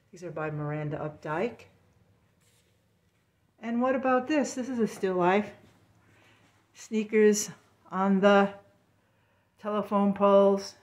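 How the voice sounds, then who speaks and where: A woman artist giving a casual, enthusiastic walkthrough of a gallery show, filmed on a handheld phone. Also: lowish voice. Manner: chatty, enthusiastic, unhurried. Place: gallery in an old brick factory building.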